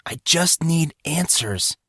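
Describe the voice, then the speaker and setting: Man voicing an anguished teenage boy, higher pitched. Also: lively intonation, takes it slowly, pushed and bright, husky, dry recording, studio quality, full character voice. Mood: pained, pleading. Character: desperate, anguished fourteen-year-old boy fighting inner rage. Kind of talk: audiobook character acting.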